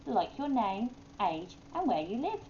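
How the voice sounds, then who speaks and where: A woman voicing a kindly, patient goose schoolteacher in a storybook, explaining things in a measured way. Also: fairly high pitch, big pitch swings, warm tone, muffled recording, slight background noise.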